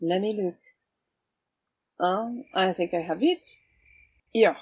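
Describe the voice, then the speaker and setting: A woman's voice: medium pitch, slow, darkish tone, slightly rough, no echo. A woman playing a cool, wry swashbuckling vigilante with a sly, teasing, theatrical manner in an audio drama. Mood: musing.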